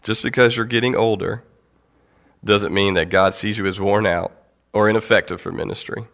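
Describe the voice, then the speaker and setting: A young man with a cold giving a casual, halting Bible teaching to his church, with fillers and light laughs. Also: on the low side, fairly even intonation, vocal fry, a bit bright. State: has a cold.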